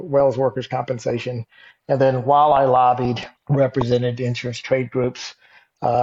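A man's voice: medium pitch, gravelly, warm tone, highs cut off. A man in his fifties from Texas, with a Texan accent, giving a conversational conference talk with frequent fillers. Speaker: guest speaker, insurance executive.

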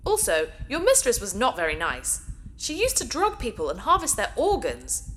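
A naive, caring female AI played by a woman from a sci-fi audio drama. Her voice is fairly high and very animated, with an earnest, childlike simplicity. There is faint noise behind her. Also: moderate pace. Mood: calm, reasoning. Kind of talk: science fiction audio drama acting.

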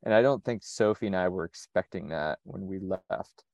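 Low-voiced American man, dry. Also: moderate pace, a little mellow, no echo. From United States. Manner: reflective, methodical, frequent restarts. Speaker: sailor and interview guest. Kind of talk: conversational interview.

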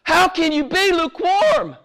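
Fervent high-voiced preacher man, hoarse. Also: expressive, unhurried. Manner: fervent, swings from hushed to shouting. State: losing his voice from a cold. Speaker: pastor. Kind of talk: sermon.

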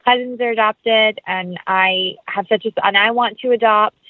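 Casting: phone-quality female guest, medium-pitched, conversational and sincere with an upbeat manner, no room echo. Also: fairly even intonation, normal speed. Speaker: interview guest, actress and producer. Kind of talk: casual conversational interview. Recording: tinny phone-like line.

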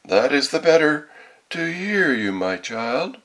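A man voicing a sly, hungry storybook wolf who softens his voice to coax and deceive. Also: a little high, very animated, pitched above the performer's own voice, exaggerated voice. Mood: menacing coaxing. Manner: coaxing, drawn-out, put-on softened voice. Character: sly hungry male wolf posing as sweet grandmother.

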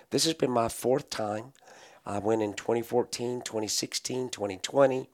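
A pastor with a raspy voice, tired from a long meeting, talking earnestly with many pauses and fillers. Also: male voice, on the low side. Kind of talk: podcast conversation.

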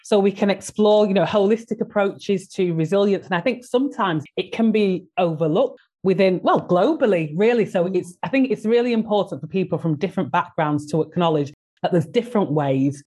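A woman's voice: fairly low pitch, moderate pace, few pauses, mellow, slightly rough. A woman hosting a podcast interview, enthusiastic and encouraging toward her guest. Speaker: podcast host.

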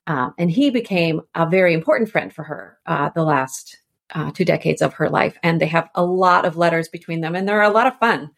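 A woman speaking as a scholarly interview guest, explaining things informally in meandering, conversational phrasing. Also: on the low side. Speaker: scholar and author, podcast guest.

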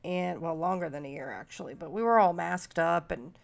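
A woman's voice: lowish voice, normal speed, gravelly. A middle-aged woman hosting a podcast, talking casually, nasal and stuffy from a cold, rambling with many fillers. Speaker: podcast host.

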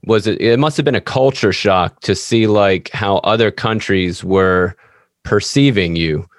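A man's voice: on the low side, measured pace, no room sound, clean studio sound. A man hosting an interview podcast, asking follow-up questions and dropping in short affirmations. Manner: brief backchannel affirmations. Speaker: podcast host.

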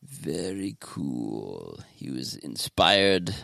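A man's voice: a bass voice, sing-song, very slow, creaky, fairly bright tone, no room sound, studio quality. A man hosting a podcast in casual chat, talking in a laid-back, meandering way. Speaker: podcast host.

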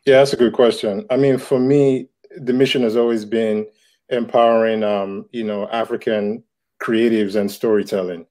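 A man's voice: fairly low pitch, level tone, moderate pace, warm tone. A man in his thirties from Nigeria, a comic book creator answering interview questions in a reflective way, with many fillers and restarts. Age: 30s. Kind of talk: casual interview talk.

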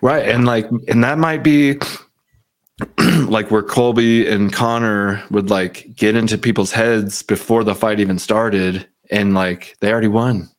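A man's voice: lowish voice, steady tone, measured pace. A man hosting a casual chat, rambling and joking with lots of swearing. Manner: rambling, profane, jokey.

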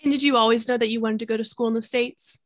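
A woman's voice: on the high side, fairly even intonation. A woman hosting a podcast, reading a polished intro and asking interview questions. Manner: brisk, polished delivery. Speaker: podcast host.

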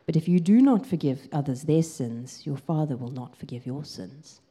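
South african woman preaching, lowish mellow voice, chatty and lively, lots of ums. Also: moderate pace, breathy edge. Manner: lively storytelling, gets excited, lots of ums. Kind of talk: sermon with a personal story.

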